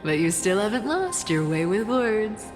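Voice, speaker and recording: A woman's voice: medium pitch, sing-song, moderate pace, lightly acted. An actress playing a sly, world-weary female trickster thief in a video game, guarded, proud and knowing. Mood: sly, commanding. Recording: long reverb under clear music.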